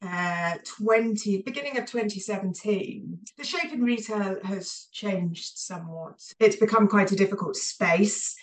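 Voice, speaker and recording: A woman's voice: mid-range voice, measured pace, few pauses, recorded from a distance, poor audio. A woman in her forties with a southern English accent, chatting casually and telling her story as a podcast guest. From southern England.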